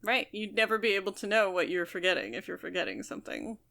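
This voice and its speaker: A woman's voice: medium pitch, big pitch swings, fast, vocal fry, sharp tone. A woman co-hosting a podcast, discussing a book casually and analytically, thinking aloud as she goes. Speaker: podcast co-host.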